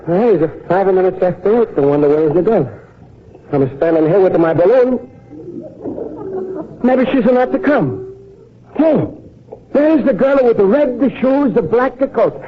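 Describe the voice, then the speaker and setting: An eager, innocent Italian immigrant in an old radio comedy. His very high voice bounces around in simple, earnest broken English with a strong Italian accent. The sound is dull and a bit noisy, like a vintage broadcast. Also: lively intonation, measured pace, long pauses. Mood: eager, anxious. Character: naive, eager, warmhearted Italian immigrant man in America.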